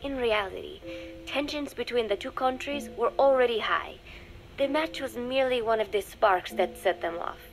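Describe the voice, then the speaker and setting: Woman acting measured, scornful young female spy over music. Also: on the high side, lightly acted, poor audio. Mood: measured, dry. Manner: measured, confiding, dryly scornful. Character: young female undercover spy, secretly cold and disdainful, dictating diary. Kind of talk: scripted dramatic diary narration for a game.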